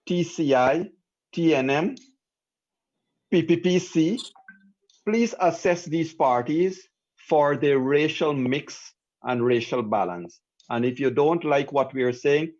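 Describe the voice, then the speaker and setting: Higher-pitched Guyanese man hosting, dull audio. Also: a little mellow. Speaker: call-in talk show host. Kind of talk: live panel hosting.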